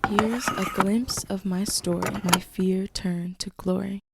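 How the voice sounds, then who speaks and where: A woman giving a soft, gentle, earnest voice-over narration for her vlog. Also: mid-range voice, fairly even intonation, takes it slowly, creaky, heavy background noise. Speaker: vlogger. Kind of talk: scripted reflective voice-over narration.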